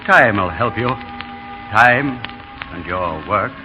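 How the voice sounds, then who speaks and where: A grave schoolmaster offering comfort in an old radio play. The delivery is very slow and solemn at a medium pitch. Music plays behind the dull recording. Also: male voice, animated. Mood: solemn, consoling. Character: grave, consoling English schoolmaster colleague. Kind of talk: 1930s radio acting.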